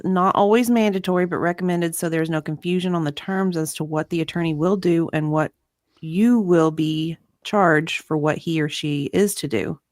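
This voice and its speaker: A woman's voice: sits low, moderate pace, a little mellow, no echo, studio quality. A woman co-hosting a livestream in a casual, chatty style, at times reading aloud. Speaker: livestream co-host. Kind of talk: casual chat, reading aloud from web pages.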